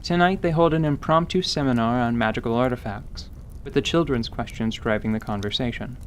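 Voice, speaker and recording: Mid-pitched man narrating an audio drama. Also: brisk, a little hiss or hum.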